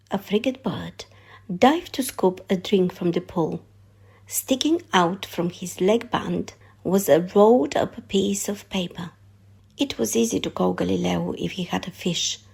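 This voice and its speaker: A woman narrating a children's story aloud in an expressive read-aloud style. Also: fairly low pitch, measured pace, creaky, slightly rough.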